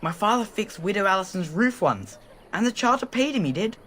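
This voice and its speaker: A man's voice: high voice, sing-song, a little fast, a bit bright. A man playing a simple, chatty villager in a video game, folksy and eager to explain things. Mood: helpful, chatty. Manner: folksy, plain-spoken, repeats himself. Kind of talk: video game character dialogue.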